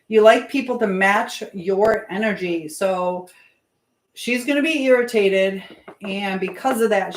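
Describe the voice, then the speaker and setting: A woman's voice: mid-range voice, echoey room. A woman hosting a live stream in casual chat, drifting informally between advice to viewers and comments on what she is doing.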